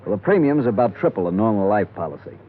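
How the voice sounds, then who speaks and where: An actor with an American accent playing a weary, grumbling insurance executive in an old radio drama. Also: male voice, fairly low pitch, big pitch swings, fast. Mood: worn, businesslike. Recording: tinny old radio recording.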